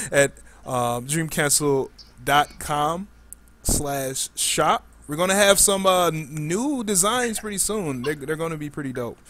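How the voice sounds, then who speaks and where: A man's voice: on the high side, a bit bright. A man hosting a live podcast in a casual, informal way with plenty of slang. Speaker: podcast host.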